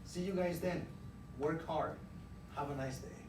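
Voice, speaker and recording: A man's voice: fairly high pitch, a little slow, recorded from a distance, lightly acted. Man voicing an easygoing boss in a noisy room. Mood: friendly. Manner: casual, direct, instructing. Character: easygoing, brisk male employer hiring day labourers. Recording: roomy, noisy classroom, recorded from afar.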